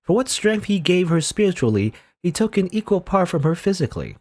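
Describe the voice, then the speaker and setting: A man's voice: sits high, sing-song, a little fast, a little mellow. A young man reading a visual novel aloud dramatically, breaking off into rambling asides full of fillers. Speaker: let's player.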